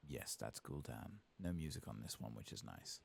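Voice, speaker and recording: A man giving casual, soft-spoken ASMR commentary as he plays a video game, murmuring his thoughts. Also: rumbling low, expressive, normal speed, gravelly, husky. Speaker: ASMR gaming streamer.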